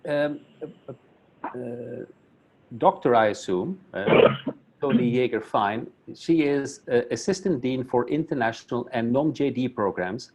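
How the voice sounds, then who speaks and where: A man's voice: medium pitch, a little mellow. A man from Belgium, a Flemish speaker, introducing a speaker at a webinar in a friendly, self-deprecating way, often restarting his words over a video call. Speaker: academic council chairman introducing a speaker.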